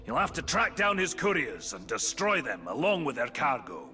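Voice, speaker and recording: An actor playing a blustery, vengeful hunter in a video game, speaking emphatically and theatrically. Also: male voice, medium pitch, sing-song, normal speed, over music, close to an everyday voice. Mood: commanding. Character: blustery, vengeful male fantasy hunter ally. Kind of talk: video game cutscene dialogue.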